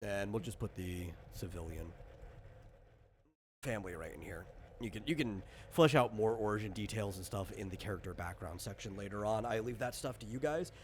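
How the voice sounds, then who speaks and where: Male game master, lower register, informal rambling explanations, audible background noise. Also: moderate pace. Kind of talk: casual explanatory chat.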